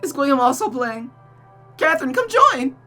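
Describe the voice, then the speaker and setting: A shrill, unhinged young woman killer. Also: sing-song, moderate pace, pushed and bright, soft background music, full character voice. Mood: unhinged, desperate. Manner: shrill, pleading, tearful, dramatic. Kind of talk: visual novel voice acting.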